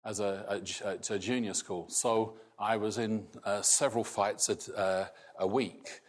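A middle-aged man raised in Scotland, with a softened Scottish accent, preaching a sermon in a slow, halting way with many restarts. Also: lowish voice, fairly even intonation. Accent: Scottish, largely softened. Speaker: pastor.

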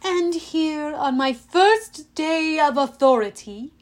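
A woman's voice: high-pitched, lively intonation, takes it slowly, voice pushed up. A woman voicing a bitter, sneering old man, a cursed spirit in authority, in a storybook reading. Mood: pompous. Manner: sneering, muttering, menacing.

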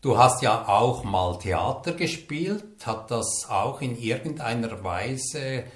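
A man's voice: medium pitch, lively intonation, slow pace. Brief: male host, curious and probing, recorded in a roomy, echoey space. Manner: curious, probing follow-up questions. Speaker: interviewer, podcast host. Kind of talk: interview questions.

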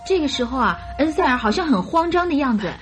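A naive young bride-to-be in a radio play, with a high voice. She tells her story earnestly and chattily, with little hesitations, over music. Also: sing-song, fast talker. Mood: earnest recounting. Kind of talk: radio drama acting.

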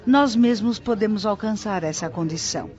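A Brazilian actress playing a patient, helpful spirit guide in a radio drama, explaining things gently and formally. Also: female voice, mid-range voice, normal speed, muffled recording, natural voice. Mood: patient, explanatory.

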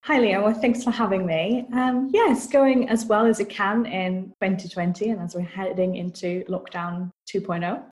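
A woman's voice: mid-range voice, fairly even intonation, moderate pace, hardly any pauses, darkish tone. A woman from Austria, a marketing manager guesting on a podcast, chatting in an upbeat way and thinking aloud as she talks. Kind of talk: casual interview chat.

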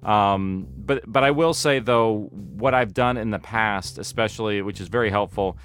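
A man's voice: lowish voice, moderate pace, fairly bright tone, soft background music. A man chatting casually in an interview as a producer and director, explaining at length with hesitant starts. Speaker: audio fiction producer and director, interview guest.

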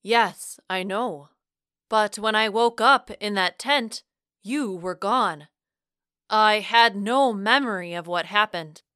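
A woman voicing a reserved, sheepish but earnest man who speaks deliberately and formally in audiobook dialogue. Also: medium pitch, sharp tone, smooth, no echo, clean studio sound. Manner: deliberate, formal wording without contractions. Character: reserved, sheepish, earnest man pushing away his love.

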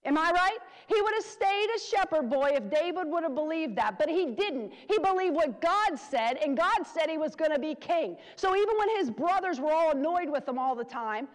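A woman preacher giving a sermon, urging her listeners and repeating key phrases for emphasis. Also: high voice. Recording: long hall reverb.

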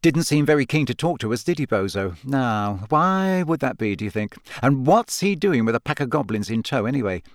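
A man's voice: medium pitch, very animated, fairly quick, hardly any pauses, no echo, studio quality. A man voicing a gossipy, smug, predatory woman reporter in a storybook, with a drawling, insinuating manner. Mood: smug, conspiratorial.

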